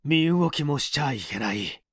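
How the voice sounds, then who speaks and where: A narrator voicing a resolute, composed man who speaks in quiet, firm commands. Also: mid-range voice, expressive, moderate pace, slightly rough, dry recording, studio quality. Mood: grave, firm. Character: resolute, composed male detective-like hero.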